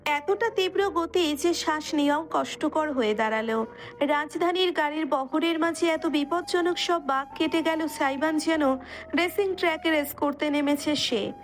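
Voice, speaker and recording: A woman's voice: high voice, moderate pace. A woman narrator reading a romance story as dramatic scripted narration.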